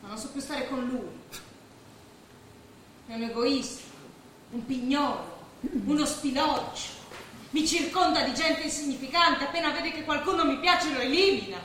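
A woman's voice: high-pitched, normal speed, long pauses, a bit bright, distant mic. An actress playing a spoiled, scheming rich young woman in an Italian stage play, theatrical, insistent and teasing. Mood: indignant. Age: young adult. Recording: very echoey, noisy theatre stage recording.

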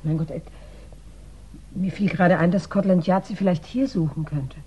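A woman's voice: on the low side, moderate pace, mellow, husky, highs cut off. An actress playing a worried, solicitous woman sheltering a friend in a 1960s German radio play. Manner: solicitous, concerned, polite. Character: anxious, caring woman sheltering a fugitive friend. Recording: slightly noisy 1960s radio recording.

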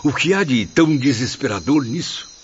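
A man's voice: mid-range voice, animated, moderate pace, natural voice. An actor playing a proud, anguished, learned male spirit, formal and brooding, in a radio drama. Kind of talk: Portuguese-language radio drama acting. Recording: muffled lo-fi audio, night insects, noise.

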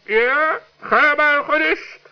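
A man's voice: extremely high pitch, extremely slow, exaggerated voice. A man voicing a wry, sensible talking animal in a children's radio play. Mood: dry. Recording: dull old radio recording.

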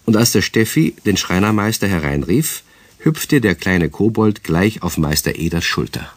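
A man's voice: low voice, animated, moderate pace, husky, dry recording, heavily acted. A man narrating a children's radio play in scripted storybook style, with a southern German accent.

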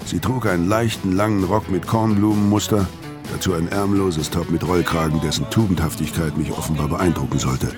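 An actor playing a weary hard-boiled killer, narrating a radio crime drama tersely and matter-of-factly. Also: male voice, deep voice, fairly even intonation, a little fast, close to an everyday voice. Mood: grim, matter-of-fact. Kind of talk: scripted first-person noir narration in a radio play. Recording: music under the voice.

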